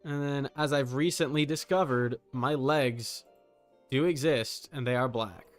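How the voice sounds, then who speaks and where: A man's voice: mid-range voice, a little slow, soft background music. A man giving casual live gaming commentary as a streamer, informal, with muttered asides and mild swearing. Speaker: video game streamer.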